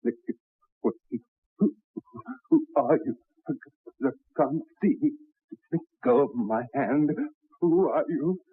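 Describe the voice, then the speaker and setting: Terrified man in darkness: husky, halting, stammering, muffled old radio horror. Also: a little high, animated, no room sound, exaggerated voice. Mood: panicked. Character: terrified man trapped in the dark. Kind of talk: radio horror drama acting.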